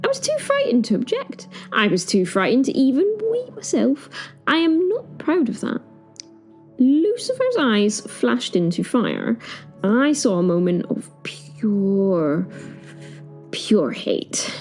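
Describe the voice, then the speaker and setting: A woman playing a timid, flustered professor, telling her story in the first person with expressive, hesitant, wry asides. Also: on the high side, takes it slowly, soft background music, exaggerated voice. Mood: fearful, confessional.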